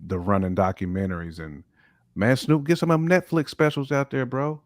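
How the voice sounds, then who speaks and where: A man's voice: mid-range voice, steady tone, a little fast, no room sound, studio quality. A middle-aged American man chatting informally and in slang as a panelist in a hip hop discussion. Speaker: talk show panelist.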